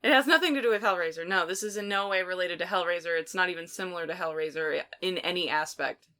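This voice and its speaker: A woman's voice: on the low side, quick pace, bright, forceful tone. Woman podcast host in casual chat. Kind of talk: casual bantering film review chat.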